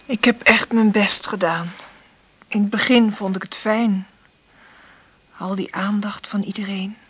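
An actress in a Dutch radio play playing a weary, struggling mother, husky and intimate. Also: medium pitch. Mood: weary, confiding. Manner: intimate murmured monologue, gentle with her child. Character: weary, struggling mother confiding in her diary.

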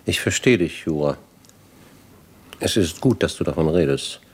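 An actor playing a weary, reflective older gentleman in a 1950s radio drama, grave, philosophical and articulate. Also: extremely low pitch, lively intonation, normal speed, husky, heavily acted.

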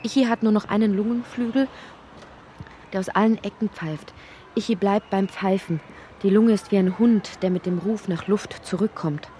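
An actress playing a brooding, introspective narrator of a dreamlike literary radio drama, with a soft, breathy, husky delivery. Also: female voice, mid-range voice, fairly even intonation, a little hiss or hum, lightly acted. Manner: measured, introspective delivery, sometimes sinking into whispers.

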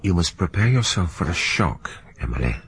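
A grave, deep-voiced solicitor. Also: male voice, sing-song, fairly bright tone, husky, full character voice. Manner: measured, formal. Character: grave older male solicitor. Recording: old radio drama with crowd noise.

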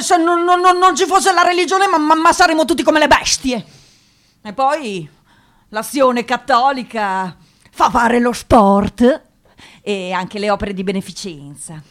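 A woman's voice: sits high, lively intonation, unhurried, fairly bright tone. An actress playing a shy, pious eighteen-year-old provincial girl with a hesitant, stammering, naive way of talking in a radio drama. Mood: earnest. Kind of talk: radio drama interview monologue.

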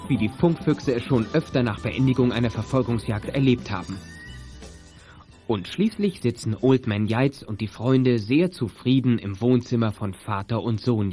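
A man's voice: mid-range voice, natural voice. A man narrating a children's detective audio drama in a scripted, dramatic storytelling style. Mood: dramatic narration.

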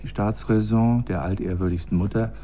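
An actor in a radio drama playing a humble, fearful court chronicler who recites gravely and deliberately. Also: male voice, lowish voice, fairly even intonation, dark tone, a little hiss or hum, lightly acted. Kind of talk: German radio drama acting.

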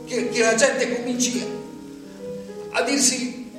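A restless jobless man who keeps circling back to the same words as he addresses a group. His voice sits high. He sounds far off in a big echoing space, with loud music under him. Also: little pitch movement. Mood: rambling. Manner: halting, repeats himself, talks to a group. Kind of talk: stage monologue. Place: theatre stage. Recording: roomy distant stage recording, loud music.

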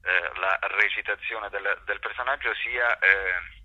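A man giving explanatory interview answers in a halting, thoughtful way, searching for his phrasing as he goes. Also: fairly low pitch, bright, forceful tone, dry recording, lo-fi sound. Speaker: actor and workshop teacher being interviewed.

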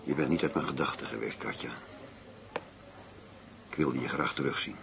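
An actor playing a blunt, world-weary middle-aged undercover detective in a radio drama. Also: male voice, rumbling low, normal speed. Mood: subdued, confiding. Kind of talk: Dutch radio drama acting. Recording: radio play with clear background hum.

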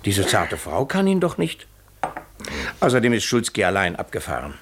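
An actor playing an earnest, troubled village pastor in a radio drama, speaking in an educated, questioning way. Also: male voice, on the low side, huge pitch swings, moderate pace, a bit bright, slight background noise, full character voice. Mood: concerned. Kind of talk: German radio drama acting.

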